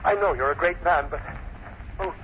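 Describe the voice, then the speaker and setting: A man's voice: fairly high pitch, normal speed, close to an everyday voice. A man playing a terrified, weak-willed assistant in old radio drama style, stammering and pleading. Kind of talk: 1940s radio drama acting. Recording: dull, hissy old radio recording.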